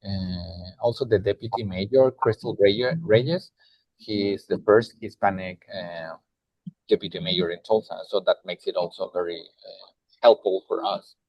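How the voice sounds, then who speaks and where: A man from Mexico with a Mexican Spanish accent, a nonprofit director talking in a roundtable, halting with many fillers and restarts, heard over a video call. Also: low voice, dry recording, highs cut off. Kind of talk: roundtable discussion.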